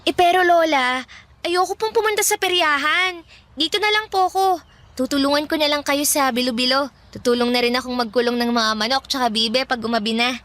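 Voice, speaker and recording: Radio drama: a timid, reluctant girl, high and bright. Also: a little fast. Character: shy, homebody teenage girl. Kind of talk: classic radio drama acting.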